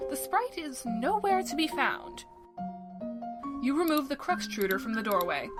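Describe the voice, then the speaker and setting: A woman's voice: high-pitched, very animated, a little slow, music in the background. A woman acting as a wry, playful, mock-formal narrator of a web audio drama, reading scripted narration. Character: wry, playful storybook-style female narrator. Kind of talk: scripted second-person narration.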